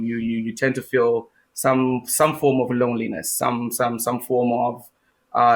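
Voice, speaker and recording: A man's voice: medium pitch, poor audio. A young man from Zimbabwe, a guest in an interview, answering with many fillers and restarts.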